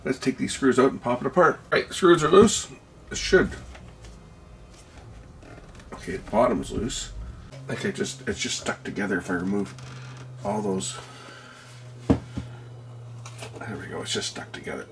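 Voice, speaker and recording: A man with a gravelly voice giving casual, hands-on electronics commentary, musing aloud and asking himself questions as a video host. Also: fairly low pitch, expressive, measured pace, long pauses, a bit bright.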